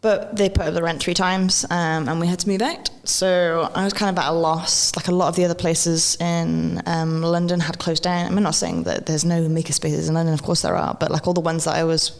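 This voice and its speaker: Woman, from Wales, low gravelly voice, chatty, many ums, long hall reverb. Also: brisk, few pauses. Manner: chatty, laughs often, many ums and restarts. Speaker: guest, maker and educator. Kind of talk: relaxed conversational interview. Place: event venue at a festival.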